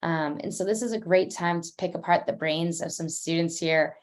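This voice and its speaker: Young woman, panel host: upbeat, chatty, slightly low voice, video-call sound. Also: normal speed. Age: young adult. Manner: chatty, upbeat, many fillers. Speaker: admissions officer hosting an online panel. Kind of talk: casual online panel hosting.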